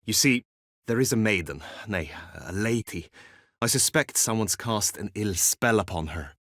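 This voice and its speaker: An actor playing an earnest, lovesick, chivalrous knight in a video game, with formal, archaic courtly phrasing and an anxious streak. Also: male voice, lowish voice, animated, moderate pace, fairly bright tone, no room sound, clean studio sound. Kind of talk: video game character acting.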